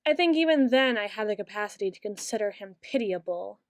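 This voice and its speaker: A young woman voicing a brooding queen who speaks softly and musingly, close to the microphone, in an ASMR roleplay. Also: mid-range voice, lively intonation, no room sound, lightly acted. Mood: wistful. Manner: soft-spoken, slow and musing, turning bitter. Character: brooding young queen, bitter toward her late father.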